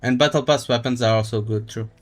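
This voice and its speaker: A man live streaming a game, chatting casually in a halting, rambling way as he thinks aloud. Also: mid-range voice, steady tone, soft background music. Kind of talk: casual gaming stream chat.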